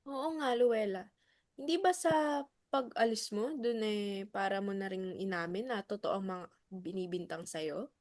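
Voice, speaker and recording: A woman in a dramatic reading playing a fair but probing club member with a measured, questioning manner. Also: medium pitch, darkish tone, no echo, studio quality, natural voice. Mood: doubting.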